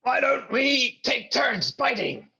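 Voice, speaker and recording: A man's voice: very high voice, sing-song, slow, gravelly, sharp tone, lo-fi sound, full character voice. A man playing an aggravated, sarcastic pirate in a comic fantasy table read. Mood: exasperated.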